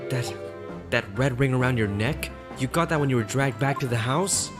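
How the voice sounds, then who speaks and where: A man's voice: medium pitch, moderate pace. A young man playing a courtroom game with friends, playfully reading the lawyer's lines aloud. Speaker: game player. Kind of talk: casual let's-play chat. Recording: music playing behind.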